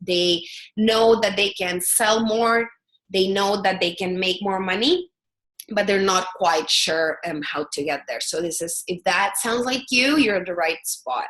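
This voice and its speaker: A woman from El Salvador with a Salvadoran Spanish accent presents a business webinar. She speaks at a middle pitch, earnest and steady, with frequent fillers and restarts. The sound carries a noticeable echo of the room. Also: measured pace. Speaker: product strategist.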